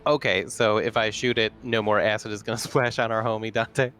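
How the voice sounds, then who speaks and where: A man's voice: lowish voice, fairly even intonation, a little fast, fairly bright tone. A man playing a tabletop roleplaying game, chatting casually in a slangy, joking way. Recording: music behind the voice.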